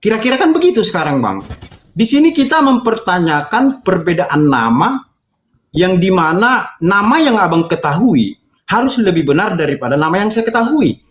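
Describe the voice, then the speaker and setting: A man's voice: high-pitched, big pitch swings, normal speed, reverberant. A man in an informal religious debate, explaining his points and arguing through illustrations. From North Sumatra, Indonesia. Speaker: debate participant.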